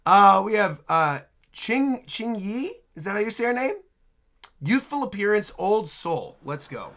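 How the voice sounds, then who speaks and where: A man hosting a reaction video, chatting casually and excitably as he comments. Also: sits high, a little slow.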